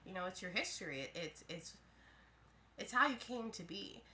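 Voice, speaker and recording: A woman's voice: mid-range voice, expressive, normal speed, bright, forceful tone, slight background noise. A woman giving a casual tarot reading to her viewers, a little stuffy from a head cold, musing aloud and often restarting her phrases. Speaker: tarot reader.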